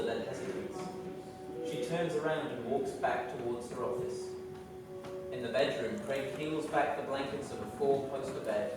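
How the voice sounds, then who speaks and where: A man's voice: medium pitch, heavy background noise. A man reading stage directions as scripted narration at a staged reading. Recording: very echoey room, distant microphone.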